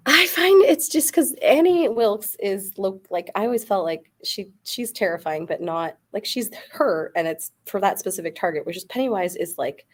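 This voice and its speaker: A woman's voice: medium pitch, lively intonation, normal speed, few pauses. A woman guest in a casual podcast debate, rambling with lots of "like" fillers as she argues her point. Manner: rambling, heavy use of "like" fillers.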